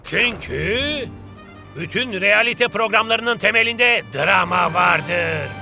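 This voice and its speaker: A man's voice: high-pitched, slow pace, vocal fry, pushed and bright, faint music behind, exaggerated voice. A man playing a vain, dramatic star footballer in a cartoon dub, theatrical and boastful. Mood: self-assured. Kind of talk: exaggerated cartoon dubbing.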